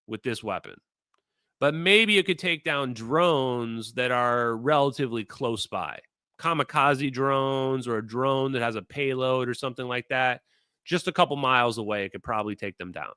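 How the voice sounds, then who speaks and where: A man's voice: medium pitch, lively intonation, normal speed, pushed and bright, smooth, dry recording, clean studio sound. A man from Minnesota with a Minnesota accent, hosting a livestream and talking casually, rambling with fillers and repeated phrases. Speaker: streamer host.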